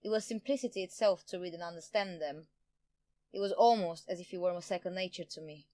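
A woman's voice: lowish voice, a little fast, warm tone, natural voice. A woman playing a smug, power-hungry politician in an audio drama, pompous and theatrical in manner. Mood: smug, explaining. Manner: theatrical, pompous, self-satisfied. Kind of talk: audio drama villain acting.

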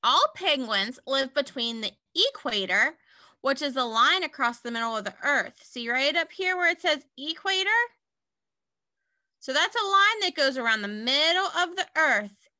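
Animated, high-pitched woman reading to kids. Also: moderate pace, dry recording. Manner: animated, simple explaining, addressing young listeners. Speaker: teacher reading a picture book aloud to young children. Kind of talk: children's read-aloud with explanatory asides.

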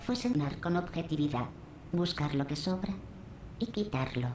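An actress voicing a cold, sarcastic female computer overseer in a Spanish game dub, calm and mocking. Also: low voice, moderate pace, a little hiss or hum. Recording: roomy reverb over game music.

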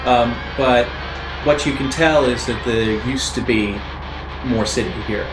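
A man runs a tabletop roleplaying game as game master, improvising the scene in halting phrases. His voice has a roomy ring, with guitar music playing behind it. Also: mid-range voice.

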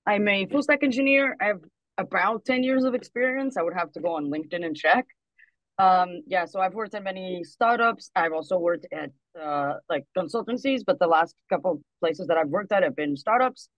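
A woman's voice: fairly low pitch, animated, brisk, no room sound. Woman from Portugal, dull dry call audio. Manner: casual, laughs easily. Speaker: guest, software engineer. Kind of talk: casual interview. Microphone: video call.

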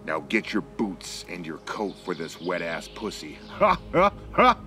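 A man recites rap lyrics with theatrical emphasis in a low voice. His pitch moves around in a very animated way. Music is clearly heard behind him. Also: a little slow. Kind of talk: mock-dramatic reading of rap lyrics. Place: outdoors among trees. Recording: music under the voice.

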